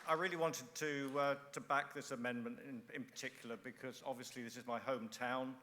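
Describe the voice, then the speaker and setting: A middle-aged man from England, a former air force member, giving a halting first conference speech full of uhs and restarts. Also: medium pitch, steady tone, very noisy. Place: large conference hall. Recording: long hall reverb.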